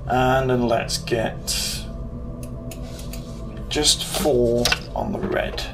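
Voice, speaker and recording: A man's voice: medium pitch, steady tone, very slow, background noise. A British man in his thirties, casually walking through his home cockpit build, thinking aloud with ums as he shopping-lists parts. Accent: British English. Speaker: hobbyist YouTuber. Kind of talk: casual build vlog walkthrough. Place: living room at home. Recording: clear background music under voice. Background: background music.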